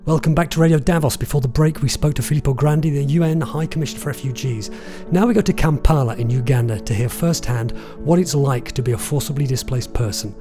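A man hosting a podcast, narrating and interviewing in a clear, composed presenter manner. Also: medium pitch, quick pace, over music. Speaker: podcast host.

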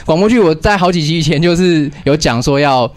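Higher-voiced young Taiwanese man chatting. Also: brisk, dry recording. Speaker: radio show host and comic artist. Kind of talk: casual radio chat.

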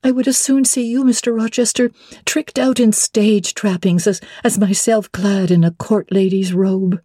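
A woman's voice: medium pitch, fairly even intonation, moderate pace, warm tone, no echo, clean studio sound, close to an everyday voice. A woman playing an earnest, proud young bride-to-be in a classic novel reading, speaking formally and candidly. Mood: pleading, protesting.

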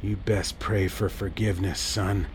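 A man voicing a bitter, scornful middle-aged priest who speaks slowly in a hushed, menacing tone. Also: low-pitched, level tone, gravelly, a little hiss or hum. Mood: contemptuous. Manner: hushed, slow and menacing, rising to a snarl.

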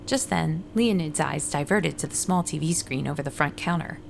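A woman's voice: low-pitched, moderate pace, gravelly, slight background noise. A woman playing a friendly, ordinary runner and ad writer, narrating her story in a scripted fiction podcast. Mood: narrating, calm. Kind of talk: first-person scripted audio drama narration with dialogue.

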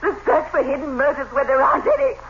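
Defensive wife, lively pitch, old radio. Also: female voice, quick pace. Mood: defensive, indignant. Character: weary, defensive, indignant wife, a woman with secrets. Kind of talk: 1960s radio suspense drama acting. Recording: dull, very noisy old radio recording.

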